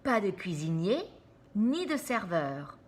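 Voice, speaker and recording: A woman voicing an excitable, always hungry young man from a cartoon in a children's storybook. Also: medium pitch, takes it slowly, slight background noise. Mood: disappointed. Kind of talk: storybook read-aloud for children.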